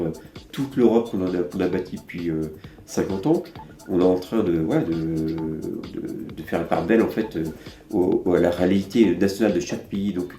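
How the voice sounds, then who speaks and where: A man's voice: deep voice, mellow, reverberant. A man giving political commentary in an interview, hesitant and full of fillers.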